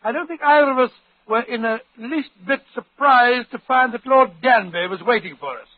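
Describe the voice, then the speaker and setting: Older avuncular man, high pitch, flustered, hesitant, 1940s radio drama, thin narrow-band sound. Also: normal speed. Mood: storytelling.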